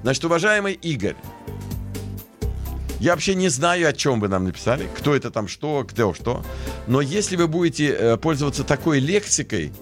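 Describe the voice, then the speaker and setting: Male radio host, deliberate and admonishing. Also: fairly high pitch, sing-song, soft background music. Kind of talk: reading and answering listener messages on air. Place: radio studio.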